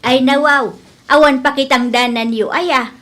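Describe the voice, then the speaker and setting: Woman acting a shrill, bright-voiced scolding village auntie. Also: fairly even intonation, unhurried. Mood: blunt scolding. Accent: Ilocano Filipino. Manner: sharp, reproachful. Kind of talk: Ilocano comedy radio drama acting.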